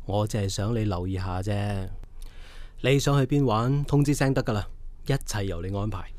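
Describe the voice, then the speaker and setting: Man as a low-voiced, caring middle-aged husband. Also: moderate pace, a little mellow, dry recording, close to an everyday voice. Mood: affectionate, easygoing. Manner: reassuring, affectionate. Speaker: radio drama actor. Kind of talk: radio drama acting.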